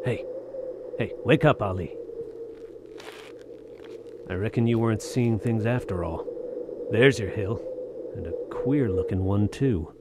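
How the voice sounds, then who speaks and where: An actor playing a weary, hard-bitten soldier of fortune in an audio drama, speaking in folksy old-fashioned slang with grim resignation. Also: male voice, on the low side, unhurried, pauses often, background noise, lightly acted. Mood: awed, excited.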